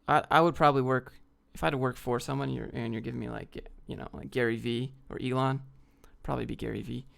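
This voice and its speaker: A man's voice: medium pitch, fairly even intonation, quick pace, creaky. A man from the United States hosting a livestream, chatting off the cuff in a blunt, slangy way as he reads out questions and answers them. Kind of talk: casual off-the-cuff Q&A chat, reading questions aloud.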